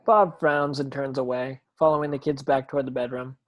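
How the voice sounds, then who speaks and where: A man narrates stage directions from a script in a medium-pitched voice. He reads as an amateur, sometimes stumbling and going back over a word. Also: fairly even intonation, fairly quick, dull audio. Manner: stumbling over words, rereading.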